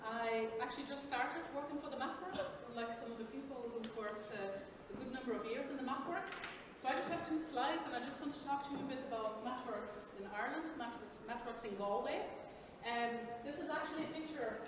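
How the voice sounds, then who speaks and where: A woman's voice: sits high, fairly quick. A woman from Belgium gives an upbeat, chatty recruiting presentation with frequent ums, recorded from a distance in a large expo hall. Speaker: company presenter. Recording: echoey hall, distant mic, background noise.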